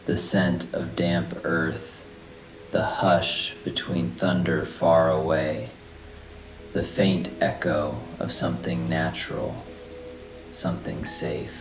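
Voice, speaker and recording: A man narrating a guided sleep meditation in a soft, soothing, unhurried manner. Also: rumbling low, low-quality recording. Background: steady rain sounds and soft background music.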